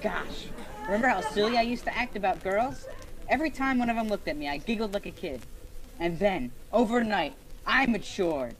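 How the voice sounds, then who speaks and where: A woman playing an earnest, gushing, pompously wordy high school boy in an old-time radio comedy. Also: expressive, moderate pace, slight background noise, lightly acted, low-quality recording. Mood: earnest, sentimental. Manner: florid, over-earnest, hesitant.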